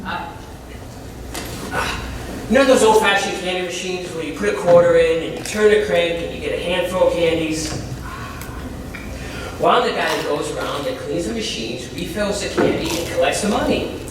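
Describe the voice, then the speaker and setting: An actor in a live stage play, playing an earnest, halting younger ex-convict, heard from afar in an echoey room. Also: male voice, high voice, lightly acted. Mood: proud, halting. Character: earnest, eager younger ex-convict, candy-machine businessman. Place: indoor stage, recorded from afar. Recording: echoey distant stage recording with noise.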